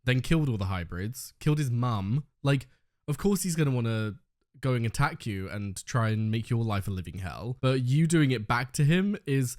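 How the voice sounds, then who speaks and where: An excitable man comments casually on a TV episode, swearing freely and reacting with enthusiasm. He is getting over a sore throat. His voice sits at an ordinary male pitch. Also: moderate pace. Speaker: reaction video host.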